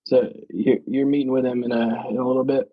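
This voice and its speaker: A man's voice: medium pitch, little pitch movement, brisk, phone-quality sound. A man co-hosting a casual podcast, laid-back, giving short replies and prompting questions. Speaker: podcast co-host. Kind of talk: casual conversation.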